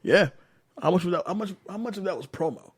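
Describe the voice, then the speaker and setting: A man's voice: sits high, animated, fast talker, slightly rough, dry recording, clean studio sound. Swearing, laid-back young man hosting. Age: late 20s. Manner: loose, swears freely, laughs often. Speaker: podcast host. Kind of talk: casual movie chat.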